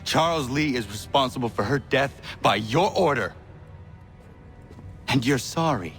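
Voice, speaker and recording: Grave, brooding young assassin. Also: male voice, mid-range voice, lively intonation, unhurried, a bit bright, faint music behind. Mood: bitter, accusing. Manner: solemn, blunt. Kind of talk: video game cutscene acting.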